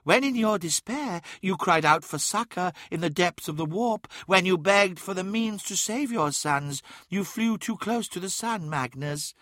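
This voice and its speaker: A man's voice: sits high, expressive, unhurried, hardly any pauses, a bit bright, no room sound, pitched above the performer's own voice. A man playing an ancient, boastful demon god, speaking in a grandiose, taunting and commanding way in a fantasy audiobook. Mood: taunting, menacing.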